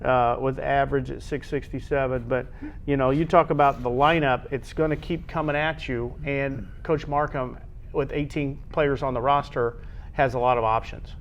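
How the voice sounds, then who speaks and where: A man hosting a conversational sports show, with halting phrasing that often repeats itself. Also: medium pitch, steady tone, muffled recording, slight background noise. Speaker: sports talk show host.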